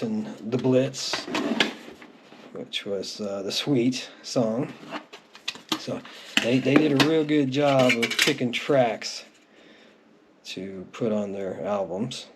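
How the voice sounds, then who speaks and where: A man's voice: mid-range voice, slow. A middle-aged man narrates a collection in a casual, unscripted, reminiscing way, as if chatting through it. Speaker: music collector presenting their collection. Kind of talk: casual show-and-tell narration. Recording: clearly noisy home recording with clicks.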